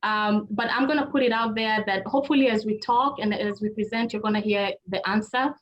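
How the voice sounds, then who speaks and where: A woman's voice: mid-range voice, fairly even intonation, brisk, muffled recording. A middle-aged woman hosting a webinar in an informal, conversational way, speaking straight to her listeners. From Kenya. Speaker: webinar host and presenter, marriage therapist. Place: online video call.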